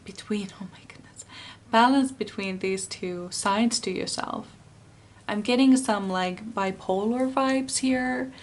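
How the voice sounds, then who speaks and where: Slow-talking Finnish woman reading tarot. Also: mid-range voice. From Finland. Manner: slow, searching, rephrases often. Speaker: tarot card reader. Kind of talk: pick-a-card tarot reading.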